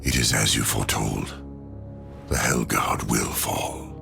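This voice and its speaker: A man playing a grim demonic servant in a video game cutscene, with a very deep, gravelly voice. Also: unhurried, over music, exaggerated voice. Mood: grim, ominous.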